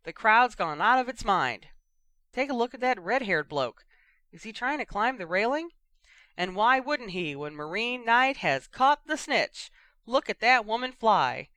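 A woman's voice: a little high, a little slow, no room sound, voice pushed up. A woman doing a brash, cheeky young sports announcer. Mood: excited. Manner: excitable, cheeky play-by-play. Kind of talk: fan fiction audiobook reading.

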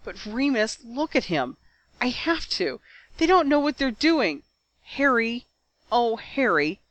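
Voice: medium pitch, sing-song, slow pace, a bit bright, dry recording, pitched above the performer's own voice, poor audio. A narrator voicing an anxious, pleading young woman who is close to tears. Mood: tearful pleading. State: tearful.

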